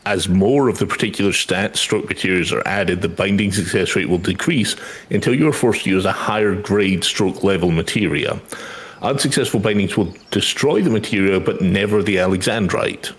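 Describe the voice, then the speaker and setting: A man's voice: low-pitched, moderate pace. A man chatting casually and reading game text aloud during a gaming session.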